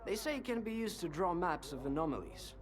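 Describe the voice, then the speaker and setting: A man's voice: high-pitched, sing-song, fairly quick. An actor playing a cynical, worldly male informant in a video game, talkative and sardonic, with an insinuating conversational manner. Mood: scornful. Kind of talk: video game dialogue acting.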